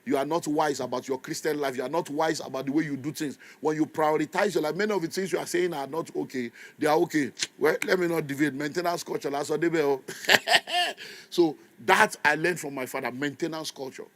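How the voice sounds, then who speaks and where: A Nigerian man in his fifties preaching with animated energy. His voice sits a little high for a man, and he slips into Pidgin asides and laughs easily between his points. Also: fairly quick. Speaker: pastor. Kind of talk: sermon.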